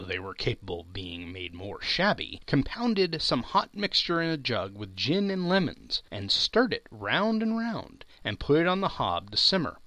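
Bright, highly animated male storyteller. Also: no room sound. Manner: theatrical, emphatic, expressive. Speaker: podcast host and narrator. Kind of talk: dramatic literary audiobook narration.

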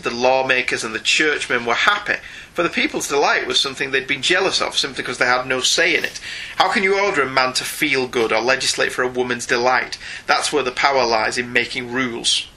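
A British man with a very bright, forceful voice talks through a review as a podcast co-host. His delivery is conversational and opinionated, with a wry edge. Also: medium pitch, brisk, low-quality recording. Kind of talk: conversational comic book review.

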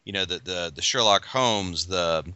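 A man hosts a casual podcast panel, his low voice bright and cutting. He quizzes his guests eagerly. The audio is dry and dull, with a lo-fi edge. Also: a little slow, smooth, no echo. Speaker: podcast host.

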